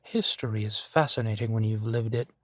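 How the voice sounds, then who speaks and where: A man playing a centuries-old vampire duke in an intimate roleplay audio, genteel, amused and doting, talking theatrically and savoring his pauses. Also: on the low side, no room sound, clean studio sound. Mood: nostalgic, amused. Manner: unhurried, theatrical, savoring pauses with soft chuckles.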